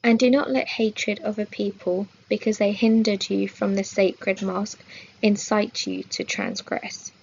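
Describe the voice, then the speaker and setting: Woman, creaky mid-range voice, measured formal reader of scripture study, slightly muffled recording. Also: medium pitch, expressive. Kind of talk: scripted religious lesson read aloud.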